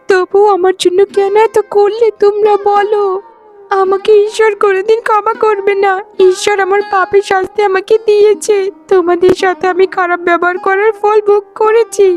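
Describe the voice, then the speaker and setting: A woman voicing a sickly, stubborn youngest wife in a cartoon melodrama, with an agitated, wailing delivery. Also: extremely high pitch.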